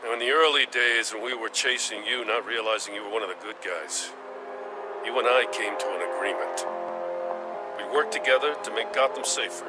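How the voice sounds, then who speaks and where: A man's voice: on the low side, a little fast, lightly acted. An actor playing a weary middle-aged veteran policeman in a video game, plain-spoken and dry. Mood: rueful, sincere. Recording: music underneath.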